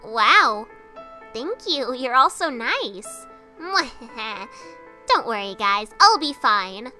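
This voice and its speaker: Girl doing a small boyish skeleton guy for a comic dub, high voice, slow and earnest, kinda nervous but kind. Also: very animated, pushed and bright, soft background music, exaggerated voice. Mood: reassuring. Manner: hesitant, stammering, earnest.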